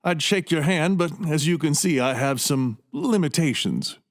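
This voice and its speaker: A man's voice: fairly high pitch, animated, normal speed, dry recording, studio quality, close to an everyday voice. A man playing an effusive, courteous genius surgeon in a radio play, with a genteel, theatrical manner. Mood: effusive, welcoming. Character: exuberant, courteous paralyzed male genius surgeon.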